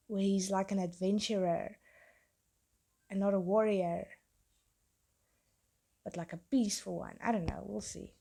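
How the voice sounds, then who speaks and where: A young woman talks through her sketch in a loose, rambling way, often breaking off mid-thought. Her voice is hoarse from a cold, with a gravelly, mellow tone. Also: mid-range voice. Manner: rambling, chatty, self-interrupting. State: losing her voice, sick. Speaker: tattoo artist and vlogger. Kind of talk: casual chat while drawing.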